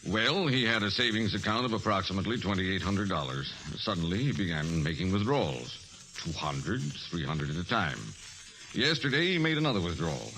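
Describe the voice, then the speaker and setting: Deep-voiced, expressive banker. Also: male voice, a little fast, poor audio. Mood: concerned, explanatory. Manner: formal, forthcoming. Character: courteous, concerned small-town male banker. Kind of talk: 1940s radio drama acting.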